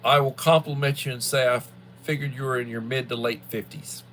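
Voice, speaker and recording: A man in his sixties talks off the cuff in a casual livestream style at a middling pitch. His delivery is folksy and halting, often circling back to repeat a phrase. A light background hum runs under the voice. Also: steady tone, fairly bright tone. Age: early 60s. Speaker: livestream host, school worker. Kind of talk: casual rambling livestream chat.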